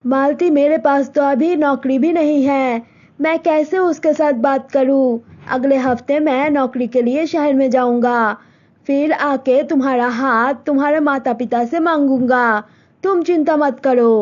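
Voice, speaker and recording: A woman voicing a young man who calmly reassures his girlfriend. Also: high-pitched, measured pace, highs cut off. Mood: reassuring. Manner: soothing, reassuring.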